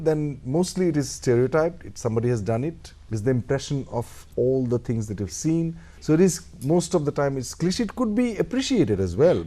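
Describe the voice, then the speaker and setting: Middle-aged Indian actor, Indian English accent, lively pitch, warm dark tone, reflective interview guest. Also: male voice. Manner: thoughtful, animated, acts out little quoted exchanges. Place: radio studio.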